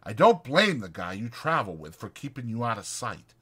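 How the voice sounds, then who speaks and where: A man's voice: mid-range voice, moderate pace, slightly rough. A man voicing a lonely, bitter, needling stable hand in a dramatized audiobook, with folksy, insistent, taunting talk. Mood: scornful. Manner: insistent, taunting, folksy, drawn into excited speeches.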